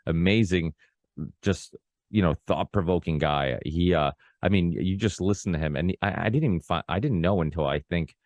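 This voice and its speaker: Portuguese-born guy around 40, really low voice, dry close sound, rambly podcast talk. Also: normal speed, a little mellow, no echo. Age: about 40. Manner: rambling, full of restarts and "you know, like". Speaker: podcast host. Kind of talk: casual long-form conversation.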